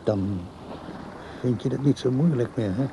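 A man's voice: mid-range voice, measured pace, mellow, slight background noise, close to an everyday voice. An actor playing a lovesick, stubborn and evasive older father in a radio drama, defensive and wistful. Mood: wistful, pleading.

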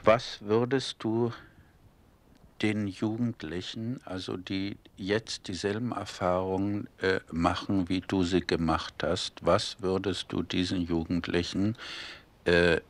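A man's voice: low-pitched, fairly even intonation. A man interviewing for a radio documentary, asking long, hesitant questions. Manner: slow, hesitant questions with fillers.

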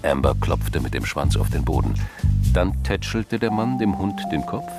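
A man's voice: deep voice, big pitch swings, moderate pace, vocal fry, over music, heavily acted. A man narrating a scripted crime drama.

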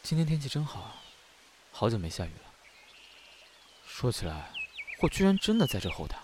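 A man playing a lively, impulsive, easily huffy young man in an audio drama, expressive and blunt. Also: mid-range voice, normal speed, slight background noise. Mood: grumbling. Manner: expressive, blunt, playful grumbling. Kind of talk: Chinese audio drama acting.

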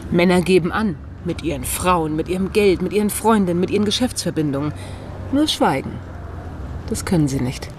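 A woman acting a cheeky, sharp-tongued friend turned amateur detective in a German radio crime drama, mocking and decisive. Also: lowish voice, expressive, fairly quick, lightly acted. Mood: determined.

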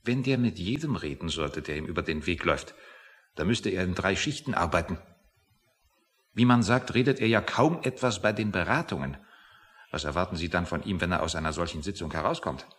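A man's voice: low-pitched, lively intonation, brisk, fairly bright tone. An actor playing a grumpy, self-satisfied, pedantic man in a satirical radio play. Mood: scornful. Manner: pedantic, lecturing, easily offended. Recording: reverberant hall-like room.

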